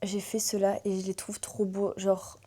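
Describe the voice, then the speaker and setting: A woman's voice: mid-range voice, monotone, warm tone, slightly rough. A young woman chatting casually to camera as a book content creator, talking freely with frequent hesitations. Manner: chatty, fills pauses with hesitations. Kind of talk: casual vlog chat.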